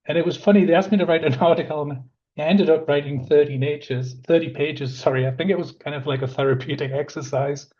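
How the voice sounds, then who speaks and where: A man in his fifties, a park planning manager, presents a webinar in a croaky voice, talking in an earnest, conversational way with many fillers. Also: on the high side, fairly even intonation, fast, a little mellow. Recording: dull lo-fi audio.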